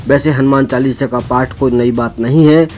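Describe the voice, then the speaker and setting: A man's voice: mid-range voice, fairly even intonation, moderate pace, mellow. A man reading a scripted news voice-over as narrator. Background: background music.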